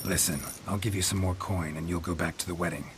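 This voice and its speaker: A man's voice: deep voice, fairly even intonation, fast, background noise, lightly acted. An actor playing a possessed monster hunter with a haughty nobleman's ghost inside, mocking and archly formal, in a video game cutscene. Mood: wry. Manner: mocking, archly formal, old-fashioned speech. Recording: game mix with loud ambience.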